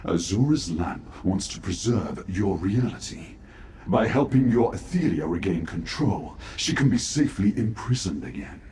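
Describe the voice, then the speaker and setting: An actor playing a despairing but loyal servant of a fallen ruler in a fantasy video game, speaking gravely and formally with urgency. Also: male voice, low voice, big pitch swings, normal speed, a little mellow. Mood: grave, resigned. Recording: echoey room, faint background noise.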